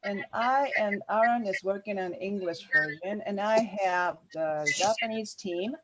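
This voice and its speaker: A woman presenting a workshop over a video call, halting and using many fillers. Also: medium pitch, a crowd in the background, poor audio. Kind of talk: online meeting presentation.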